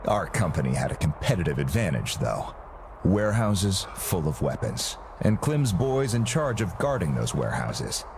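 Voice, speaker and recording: A man playing a smooth, self-satisfied arms-dealer boss with grand ambitions, speaking in a theatrical, businesslike way in a game cutscene. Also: low-pitched, sing-song, moderate pace, background noise. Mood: smug, genial. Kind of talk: video game cutscene acting.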